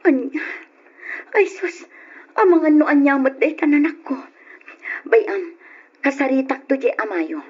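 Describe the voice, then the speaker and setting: A woman's voice: high voice, very animated, measured pace, pauses often. A woman playing a caring, anxious mother who is weak from illness, acted tenderly and emotively in the style of an old Ilocano radio drama. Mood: worried. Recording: dull, lo-fi old radio recording.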